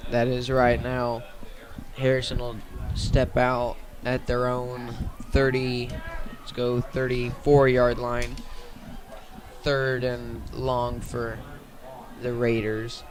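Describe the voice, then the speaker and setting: A man's voice: medium pitch, monotone, slow pace, noisy background. A man doing live radio play-by-play of a football game outdoors, in short clipped phrases. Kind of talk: live radio sports commentary.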